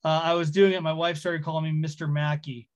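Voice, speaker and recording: A man's voice: on the high side, little pitch movement, fairly quick. A middle-aged man from Hawaii, a chef, talking casually and self-deprecatingly as a guest on a group call, with many ums. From Hawaii, USA.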